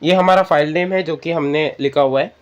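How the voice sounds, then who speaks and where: A man's voice: a little high, a little fast, dull audio. A man with an Indian accent hosting a casual step-by-step app tutorial, conversational and often checking in with listeners. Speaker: tutorial host.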